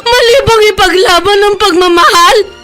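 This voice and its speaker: Wailing, very high-pitched Filipina lover. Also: female voice, normal speed. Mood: anguished, defiant. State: crying. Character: anguished, persecuted young woman in forbidden love. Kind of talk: Filipino radio melodrama acting. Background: music.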